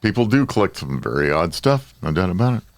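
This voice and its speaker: Lively, lower-pitched man joking around. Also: quick pace, no echo. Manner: jokey, acts out imagined quotes. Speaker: podcast co-host. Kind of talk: casual banter.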